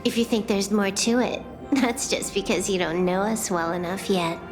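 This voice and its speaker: A woman's voice: medium pitch, normal speed, lightly acted. An actress voicing a cocky, laid-back young female hacker in a video game, teasing and nonchalant. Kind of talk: video game story dialogue. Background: music.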